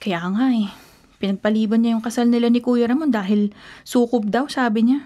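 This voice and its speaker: A woman's voice: a little high, fairly even intonation, a little fast, mellow, natural voice. A woman playing a flippant, sassy younger sister in a radio drama, with an offhand, teasing way of talking. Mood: casual.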